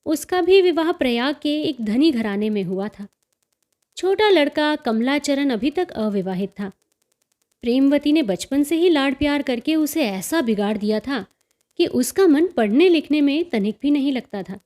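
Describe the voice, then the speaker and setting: Female storyteller, notably high-pitched voice, scripted audiobook-style reading of literary fiction. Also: expressive, a little slow, darkish tone. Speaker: storyteller and channel host.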